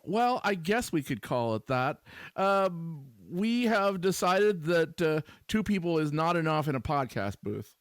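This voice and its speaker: A man co-hosting a podcast in casual banter, with a dry, deliberate, playful delivery. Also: a little high, very animated, dry recording. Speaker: podcast co-host guest.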